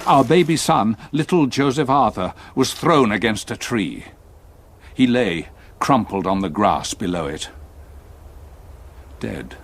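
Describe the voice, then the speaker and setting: An older man, acting a grief-stricken solicitor who tells his past in a radio ghost story, gravely and deliberately. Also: fairly low pitch, expressive, slight background noise. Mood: grave, haunted. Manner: grave, deliberate, dramatic delivery. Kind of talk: radio drama, first-person ghost story narration.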